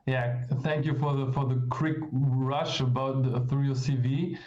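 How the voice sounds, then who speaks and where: A man's voice: mid-range voice, steady tone, measured pace, darkish tone. German guy w german accent interviewing on a casual stream, roomy muffled sound, kinda hesitant. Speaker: interviewer and host of a games event. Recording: dull, roomy livestream audio.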